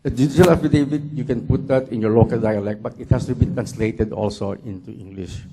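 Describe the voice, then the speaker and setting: A male law professor from the Philippines answering audience questions at a talk, explaining deliberately and often repeating words as he goes. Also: medium pitch, fairly even intonation, heavy background noise. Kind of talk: Q&A at a lecture.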